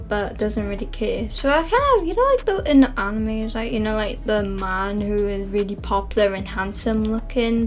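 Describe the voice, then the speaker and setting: A woman's voice: mid-range voice, a little mellow. A young woman from Wales, chatting casually as a channel host, rambling with many fillers and self-corrections. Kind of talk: casual chatty vlog narration.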